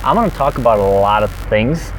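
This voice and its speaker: A man's voice: lowish voice, slight background noise, low-quality recording. A man who drives a truck for a living, chatting energetically on a livestream and reading out viewer comments. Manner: energetic, chatty, fast-talking.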